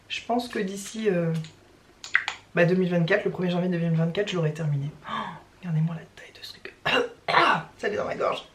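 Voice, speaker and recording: A young woman talks straight to camera in a loose, rambling vlog chat, full of fillers and restarts. Her voice sits at an ordinary middle pitch. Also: background noise. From France. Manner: chatty, rambling with many fillers. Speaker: vlogger.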